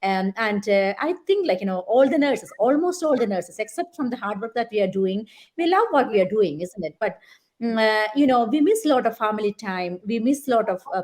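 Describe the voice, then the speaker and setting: A woman's voice: mid-range voice, a little mellow. Earnest woman guest. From Kerala, India. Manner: earnest, reflective, with many fillers. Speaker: guest, nurse. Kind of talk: casual conversational interview.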